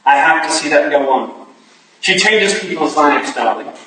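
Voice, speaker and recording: Man playing pleading Victorian husband, high-pitched, stage play reading, distant noisy mic. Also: expressive, unhurried, sharp tone. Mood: earnest pleading. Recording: echoey hall, distant mic, hissy noise.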